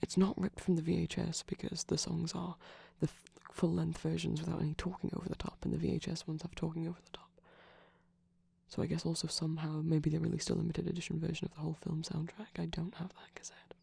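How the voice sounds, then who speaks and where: Soft-spoken, husky, mellow ASMR rambler. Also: mid-range voice, completely flat delivery, fast. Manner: rambling, enthusiastic storytelling with hesitations. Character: shy, nerdy, affectionate vampire boyfriend. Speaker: ASMR roleplay performer.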